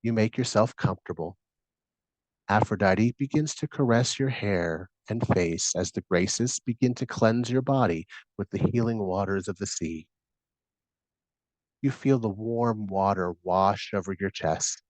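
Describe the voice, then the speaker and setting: Low-voiced man guiding a meditation. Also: darkish tone, no room sound, poor audio. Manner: soothing, measured, instructing. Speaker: meditation guide. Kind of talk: scripted guided meditation narration.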